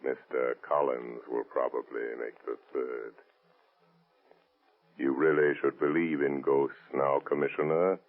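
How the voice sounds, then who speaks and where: An actor playing an eerie, unseen avenger in a 1930s radio drama, speaking with calm, mocking menace and deliberate delivery. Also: male voice, rumbling low. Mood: ominous, mocking. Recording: tinny band-limited vintage radio recording.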